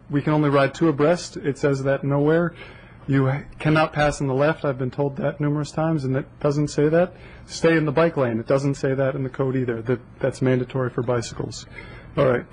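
Young man, husky voice, higher pitch, insistent and fact-driven, podium mic, council chamber. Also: poor audio. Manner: earnest, insistent, lists facts. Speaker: public commenter. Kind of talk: public comment at council meeting. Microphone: podium microphone.

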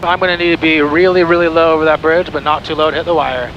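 A young man pilot talking through his approach and landing into a headset microphone in a small plane cockpit, excited and step by step. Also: on the high side, quick pace, lo-fi sound. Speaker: pilot vlogger. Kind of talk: in-flight commentary. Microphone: aviation headset. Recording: engine noise under headset mic.

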